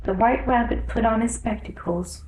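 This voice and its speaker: A woman narrating a scripted storybook in a measured, careful read-aloud manner. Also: on the high side, a little mellow. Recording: roomy echo on the voice.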